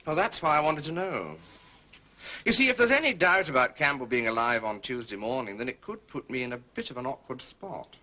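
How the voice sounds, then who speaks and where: An actor playing a blunt, slightly uneasy gentleman witness, clipped and defensive, in a period detective drama. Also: mid-range voice, lively intonation, brisk.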